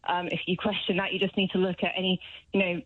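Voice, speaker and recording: British woman on a thin phone line, lowish voice. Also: level tone, fast talker, dry recording. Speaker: phone-in caller, vegan activist. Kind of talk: conversational argument on radio. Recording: thin, narrow telephone line.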